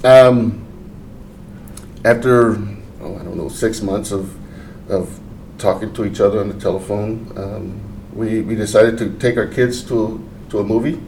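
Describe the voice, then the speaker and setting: A middle-aged man from Portland recounts his life in a relaxed interview, pausing often and restarting his sentences. His voice sits low and mellow. The mic is some way off in an echoey room. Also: gravelly, a little hiss or hum. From Portland, Oregon. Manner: unhurried, halting with fillers and restarts. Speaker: interview guest, veteran. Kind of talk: casual life-story interview. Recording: roomy, mic at a distance.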